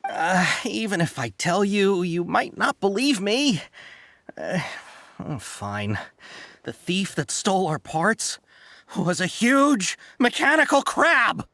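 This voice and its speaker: A hesitant, salesy caravan merchant. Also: male voice, high-pitched, very animated, slow, pushed and bright, no room sound, exaggerated voice. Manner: hesitant, full of uhs, salesy. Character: fawning merchant caravan leader. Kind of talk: game voice acting. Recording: game audio over faint music.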